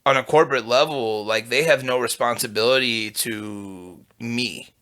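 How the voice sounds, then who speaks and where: A young man with a low voice and a bright, forceful edge talks in a loose, rambling way. He is full of fillers and curses, like someone chatting as a podcast guest. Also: measured pace. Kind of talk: casual chat.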